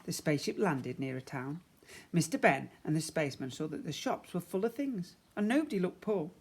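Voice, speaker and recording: A man's voice: on the high side, expressive, brisk, husky. A man, a primary school teacher, reading a story expressively to young children.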